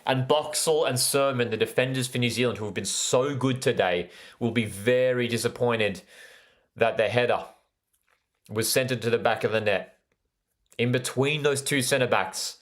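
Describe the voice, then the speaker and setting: A man's voice: medium pitch, normal speed. A man with an Australian accent hosting a live football watchalong, commenting on play and chatting with viewers in an enthusiastic way that repeats phrases for emphasis. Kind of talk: live sports commentary with chat interaction.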